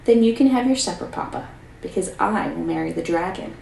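A woman voicing a kind, brave youngest daughter in a read-aloud storybook, speaking softly and gently. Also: lowish voice, lively intonation, fairly quick, creaky, slight background noise. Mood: gentle. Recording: roomy, mic at a distance.

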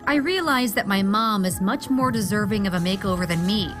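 A woman's voice: mid-range voice, fairly quick, a bit bright. A woman in her thirties doing upbeat lifestyle TV reporting. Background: background music.

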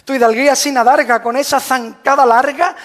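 A man's voice: very high-pitched, fairly even intonation, fairly quick, a bit bright. A man solemnly reciting a rhymed devotional proclamation as a Holy Week proclaimer, in a declamatory manner. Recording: long hall reverb.

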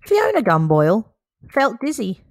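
A woman reading a children's picture book aloud, slow, clear and expressive. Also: on the high side, no echo, studio quality.

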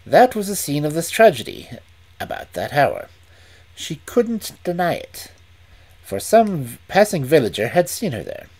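A reader voicing a calm, incisive Victorian detective who reasons aloud in a measured, deliberate way. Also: medium pitch, husky, full character voice. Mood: reasoning. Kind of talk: audiobook reading.

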